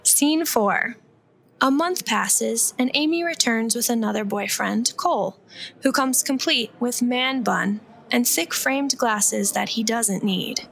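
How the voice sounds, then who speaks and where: Creaky-voiced female radio play narrator. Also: on the high side, slow, a bit bright.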